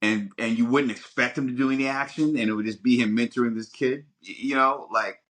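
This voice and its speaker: A man's voice: medium pitch, fairly even intonation, a little fast, a bit bright. A man co-hosting a podcast in casual chat, emphatic and repeating his words in bursts. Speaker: podcast co-host.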